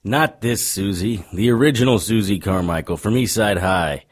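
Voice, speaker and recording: A man playing a gruff, world-weary supernatural investigator in an audio drama, speaking in a wry, terse and insistent way. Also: lowish voice, normal speed, close to an everyday voice. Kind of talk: audio drama acting and first-person narration.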